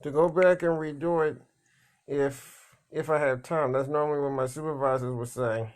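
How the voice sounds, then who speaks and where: A man talking in a casual, rambling monologue, halting and repeating words, blunt and plain-spoken. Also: fairly high pitch, steady tone. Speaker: vlogger.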